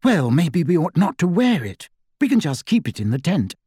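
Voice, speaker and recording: A man voicing a clever, anxious teenage girl witch who talks briskly and impatiently. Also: fairly high pitch, very animated, mellow, breathy edge, dry recording, studio quality, voice pushed up.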